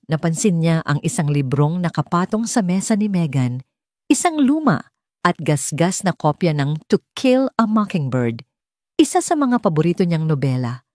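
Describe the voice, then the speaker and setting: A woman's voice: sits low, darkish tone, dry recording, studio quality. A woman reading a scripted romance story as an audiobook narrator.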